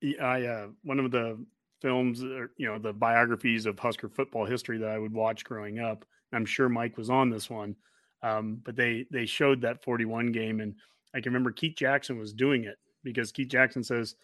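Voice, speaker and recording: A man's voice: medium pitch, fairly even intonation, normal speed, a bit bright, no echo. An American man hosting a podcast in casual, conversational interview style. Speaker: podcast host. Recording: narrow-band, phone-like sound.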